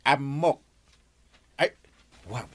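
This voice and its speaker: An actor playing a stingy, vain, excitable old village captain in a comedic radio drama, hemming and exclaiming. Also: male voice, medium pitch, drawn-out words, husky, heavily acted. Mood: flustered, teasing.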